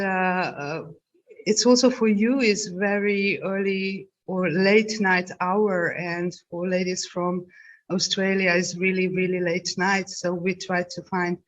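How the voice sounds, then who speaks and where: Slow, hesitant woman moderating a video call. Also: on the low side. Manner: slow, hesitant, many fillers. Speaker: panel moderator. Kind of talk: moderating an online panel. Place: home, dark room. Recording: dull video call audio.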